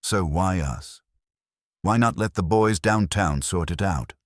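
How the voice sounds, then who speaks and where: A man's voice: sits low, slow pace, dry recording, studio quality, voice pushed up. A man voicing a breezy, wisecracking detective's assistant in an audiobook, blunt and casual.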